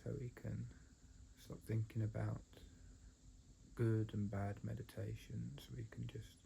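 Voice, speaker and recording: A man teaching a guided meditation in a gentle, halting way with long pauses. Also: on the low side, level tone, gravelly, a little hiss or hum, poor audio.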